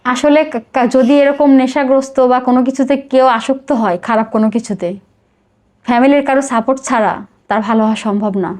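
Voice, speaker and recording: Fast-talking, high-voiced Bangladeshi woman. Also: fairly even intonation, darkish tone. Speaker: podcast guest telling her life story. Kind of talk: personal confessional interview.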